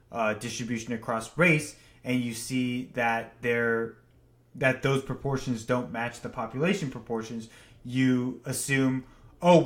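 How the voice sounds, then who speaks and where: A man's voice: mid-range voice, a bit bright. A man who grew up partly in Japan, co-hosting a podcast and giving blunt, opinionated views in casual chat. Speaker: podcast co-host. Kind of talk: casual discussion.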